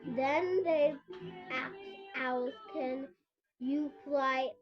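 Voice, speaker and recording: Young girl reading haltingly, very slow pace. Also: high voice. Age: child. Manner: stumbles over words and sounds them out haltingly. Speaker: presenter reading a picture book aloud. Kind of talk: halting read-aloud of a children's picture book with casual asides. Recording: muffled lo-fi home recording with prominent music. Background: music.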